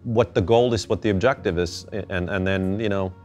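A middle-aged man from Finland, answering interview questions thoughtfully, with halting restarts. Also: deep voice, a little mellow, muffled recording, soft background music. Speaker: interview guest. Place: indoor gallery space.

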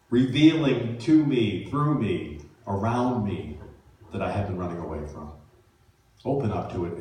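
A man leading a guided meditation as a minister, speaking soothingly in measured phrases with pauses. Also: lowish voice, darkish tone. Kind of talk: guided meditation, spiritual talk. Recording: echoey room, distant mic, faint music.

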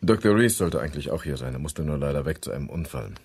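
Male local inspector for radio crime play: extremely low, rough-edged, businesslike. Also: expressive, quick pace, a little mellow, heavily acted. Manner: plain-spoken, businesslike. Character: matter-of-fact male local police inspector. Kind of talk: German radio crime drama acting.

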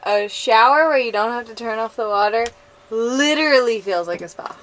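A woman's voice: a little high, normal speed, fairly bright tone. A young woman chatting casually as a travel vlog co-host, with a playful, dryly teasing manner. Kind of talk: casual chat.

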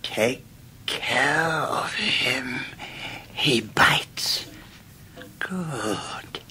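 Whispering, menacing English schoolmaster. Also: male voice, medium pitch, animated, bright, forceful tone, full character voice. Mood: quietly menacing. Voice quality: hoarse rasping whisper. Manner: slow, deliberate, threatening emphasis. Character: menacing, tyrannical middle-aged male boarding-school headmaster. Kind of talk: 1990s British radio drama acting.

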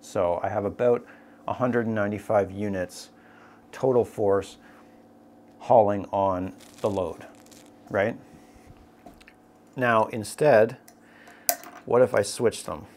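A man's voice: fairly low pitch, slow, pauses often, a little mellow. A man teaching a climbing rope technique, explaining step by step and checking in with "right?" as he goes. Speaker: climbing instructor. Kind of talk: tabletop demonstration lesson.

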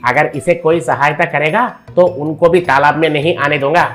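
A man's voice: on the high side, moderate pace, a bit bright, soft background music, close to an everyday voice. A man playing a greedy, bullying rich village man in a cartoon story, domineering and threatening. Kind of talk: Hindi animated moral story dialogue.

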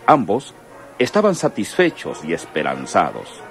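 A man narrates a radio serial in a florid, theatrical manner. His deep voice moves with a lively, dramatic range over faint music. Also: unhurried, vocal fry.

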